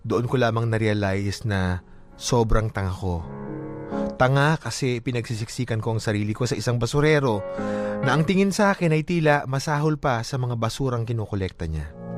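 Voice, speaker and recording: A man's voice: medium pitch, voice pushed down, close to an everyday voice. A man voicing a lovelorn, regretful young letter writer, subdued and confessional, in a radio drama narration. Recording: prominent music behind the voice.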